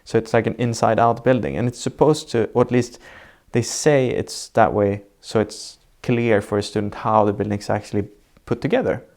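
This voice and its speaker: Swedish man, architect guest, low gravelly voice, measured explainer. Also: steady tone, darkish tone. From Sweden. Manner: measured, reflective, lays out definitions step by step. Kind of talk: explanatory interview answers. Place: sitting indoors in Norway.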